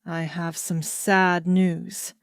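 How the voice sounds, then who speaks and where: A woman doing a soft-spoken, sorrowful king. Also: mid-range voice, takes it slowly, no echo, clean studio sound, lightly acted. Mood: grave. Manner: quiet, soft-spoken and resigned. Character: grave, sorrowful man, an abdicating king.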